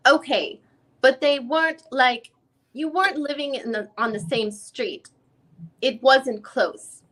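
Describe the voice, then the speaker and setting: A woman reading the part of a female lawyer who questions a witness in a deposition, in halting, rephrased questions. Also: high voice, fairly bright tone, exaggerated voice. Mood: probing. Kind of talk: dramatic reading of a legal deposition transcript.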